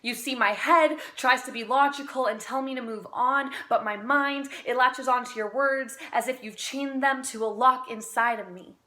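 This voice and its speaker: A woman amateur poet recites spoken-word slam poetry in an earnest, deliberate manner with dramatic pauses. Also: high voice, sharp tone.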